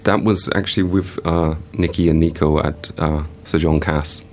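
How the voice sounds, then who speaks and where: A young man from England whose speech carries a slight German accent. His voice sits extremely low and stays flat, and he talks haltingly with many fillers. A faint noise runs underneath. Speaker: guest artist. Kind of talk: casual interview talk.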